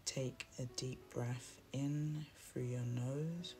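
A soothing, hushed voice guiding a relaxation meditation with deliberate, long pauses between phrases. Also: medium pitch, steady tone, a little hiss or hum. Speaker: meditation guide. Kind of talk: guided meditation, scripted narration. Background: soft instrumental music.

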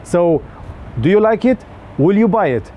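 A man's voice: sits high, expressive, unhurried, mellow, slight background noise. A young man with a Romanian accent, giving an earnest, slightly stilted scripted review of a phone with small jokes. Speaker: tech reviewer.

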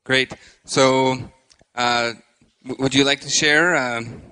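A man in his thirties hosting a live interview on a handheld microphone, speaking haltingly with frequent pauses. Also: medium pitch, steady tone, sharp tone, clean tone, rough recording. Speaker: host. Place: indoor stage with curtain.

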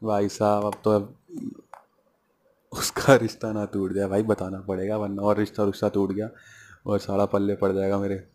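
A young man talks in a casual, conversational way, as if filming a vlog. His voice sits fairly low and stays quite flat in melody, even when he argues. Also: normal speed, a little hiss or hum. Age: 20s. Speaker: prank-call vlogger. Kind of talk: casual chat and phone argument. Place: small furnished room at home, indoors. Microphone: small clip microphone held near the mouth.

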